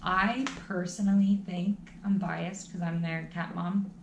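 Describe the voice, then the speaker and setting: A woman's voice: lowish voice, fairly even intonation, a little slow, vocal fry, highs cut off. A young woman vlogger chatting casually at home, dropping lots of "like" fillers. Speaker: travel vlogger. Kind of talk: casual chat. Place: small room at home, indoors. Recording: echoey room with faint noise.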